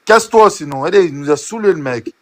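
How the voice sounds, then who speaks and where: A man's voice: fairly high pitch, lively intonation, quick pace, darkish tone. A man in a heated live political argument, insistent and interrupting, with many hesitations and restarts. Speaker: live debate participant.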